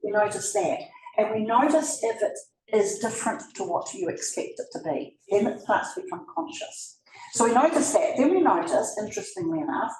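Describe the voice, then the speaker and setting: New Zealand woman speaking in a roomy venue. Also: medium pitch. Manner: conversational, lively, with asides to the audience. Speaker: presenter. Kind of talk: public talk to a live audience. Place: event room. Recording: roomy, from a distance.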